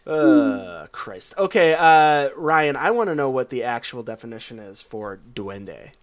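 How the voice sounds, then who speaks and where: A man's voice: mid-range voice, sing-song, measured pace. A man hosting an improv game show, joking casually and laughing often. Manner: laughs often, trails off and restarts. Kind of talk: casual comedic banter.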